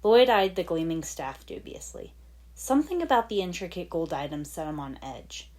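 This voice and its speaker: Lady narrating fanfic like an audiobook, slightly low voice, dramatic read. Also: expressive, normal speed, darkish tone. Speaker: fan fiction podfic reader. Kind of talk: dramatic scripted story narration.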